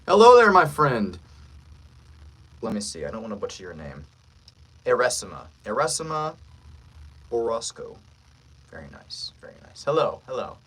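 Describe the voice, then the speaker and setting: A man's voice: fairly low pitch, big pitch swings, moderate pace, long pauses, a bit bright, husky. A man hosting a casual livestream as a voice actor, joking playfully with the viewers as he talks.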